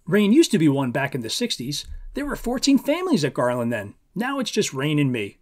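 Guy reading as earnest naive 13 yr old boy from a storybook, kinda defensive, lively pitch. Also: fairly quick. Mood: earnest, stiff. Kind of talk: audiobook-style character reading.

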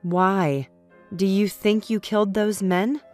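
A woman's voice: mid-range voice, steady tone, takes it slowly, a little mellow, no echo, faint music behind, lightly acted. A woman playing a caring female friend who speaks in a soothing, reassuring way. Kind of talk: audio comic book acting.

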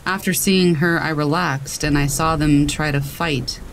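A man's voice: on the high side, a little slow, low-quality recording. A man reading scary stories as a scripted narration. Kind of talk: scripted horror story narration. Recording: steady rain noise over voice. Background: rain sound.

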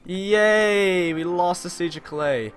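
A man's voice: high-pitched, slow. A young man with a Canadian English accent, chatting and teasing his co-player while playing a strategy game. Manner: teasing, chatty, laughs at own jokes. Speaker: gamer playing multiplayer strategy. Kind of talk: casual gameplay chat. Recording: faint game music behind.

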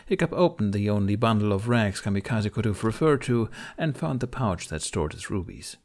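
A man reading fiction aloud as an audiobook narrator, with expressive scripted delivery. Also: fairly low pitch, normal speed.

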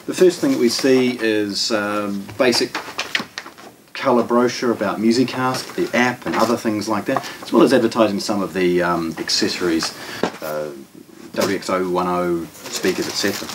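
Low-voiced New Zealand man, distant and noisy. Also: steady tone, normal speed. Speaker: shop presenter of product unboxings. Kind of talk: unscripted product demonstration. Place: carpeted indoor showroom room with shelves. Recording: distant microphone, clear background noise, handling clicks.

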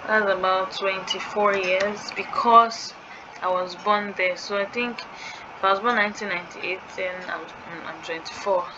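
A young woman talks through filling in a form, slow and unhurried, pausing to muse as she types. The sound is dull and lo-fi, with noise clearly audible behind her. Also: mid-range voice, fairly even intonation. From Nigeria. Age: young adult. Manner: thinking aloud while typing, hesitant. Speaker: scholarship tutorial creator. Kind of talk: screen-recorded walkthrough.

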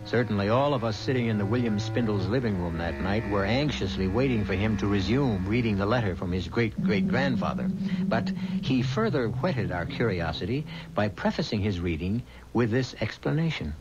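An actor playing a mysterious storyteller host, narrating a 1970s radio mystery in a deliberate, suspenseful way. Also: male voice, on the low side, expressive, natural voice. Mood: intriguing, measured. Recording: muffled old radio recording with music.

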